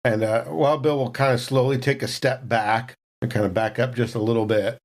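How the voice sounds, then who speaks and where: A man's voice: mid-range voice, steady tone, moderate pace. A man playing a tabletop role-playing game, narrating his character in an improvised, conversational way.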